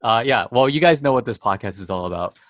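A man's voice: mid-range voice, lively intonation, fast talker, a bit bright. A young man co-hosting a podcast in casual chat, playful and laughing often, whose first language is Chinese. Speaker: podcast co-host.

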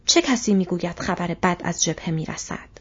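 A woman voicing a big, short-tempered male orc soldier in an audiobook, menacing and barking in a hoarse, breathy voice. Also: medium pitch, expressive, a little mellow, exaggerated voice. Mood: threatening. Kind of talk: audiobook character voice. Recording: dull, muffled lo-fi audio.

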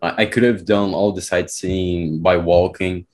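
A man's voice: low voice, fairly even intonation, a little slow, darkish tone. A man hosting a podcast in loose, enthusiastic casual chat, calling his guest bro and man. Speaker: podcast host. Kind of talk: casual conversation.

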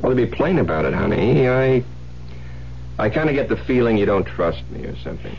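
An American actor playing a suspicious, domineering psychiatrist husband, insistent and pressing, in 1970s radio drama style. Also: male voice, mid-range voice, big pitch swings, brisk, vocal fry. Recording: muffled, hissy old radio broadcast with hum.

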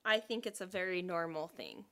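A woman's voice: on the low side, big pitch swings, moderate pace, fairly bright tone. A woman co-hosting a podcast, talking in a chatty, thoughtful way and laughing easily. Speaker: podcast co-host. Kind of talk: casual podcast chat.

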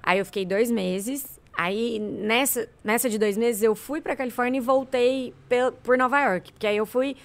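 Animated Brazilian woman telling stories. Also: fairly high pitch, moderate pace, hardly any pauses. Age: 20s. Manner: animated, acts out quoted dialogue. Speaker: interview guest. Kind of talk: casual storytelling chat.

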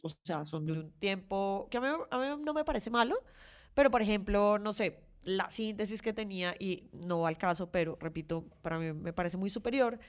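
A woman with a Colombian accent co-hosting a podcast in casual, informal conversation as she gives her review. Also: mid-range voice. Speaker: podcast co-host.

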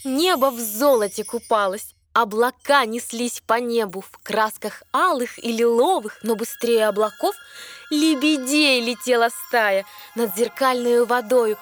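A woman playing a young mermaid princess, reciting lyrical verse in an audio fairy tale. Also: high voice. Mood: enraptured. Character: young mermaid princess sisters recounting sea wonders. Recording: music under the voice.

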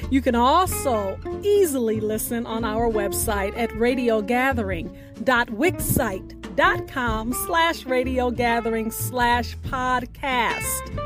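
A woman with a high voice hosts a radio show, reading the closing announcements at an unhurried pace. She enunciates details carefully while guitar music plays behind her. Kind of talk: scripted radio show outro over music.

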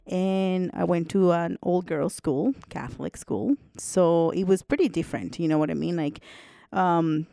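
A woman's voice: lowish voice, unhurried, creaky, warm tone, no room sound, studio quality. A woman in her forties from El Salvador, co-hosting a podcast in a chatty, casual way with lots of fillers and laughter. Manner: chatty, laughs often, many fillers like "you know". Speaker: podcast co-host. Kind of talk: casual conversational storytelling.

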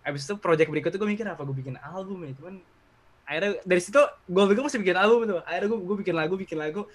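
A man's voice: high voice, racing. A young man from Indonesia chatting casually as a guest, rambling and repeating his phrases. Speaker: guest, amateur rapper. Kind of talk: casual chat.

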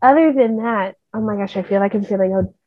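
A young woman chatting casually about herself as a guest on a video call, with quick self-aware asides. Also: mid-range voice, no echo, phone-quality sound. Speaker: guest, group leader.

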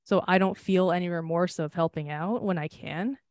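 A woman's voice: on the low side, moderate pace, a little mellow, no room sound. Candid young woman, dry close sound. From United States. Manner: candid and rambling, swearing and laughing often. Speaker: podcast co-host. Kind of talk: casual chat.

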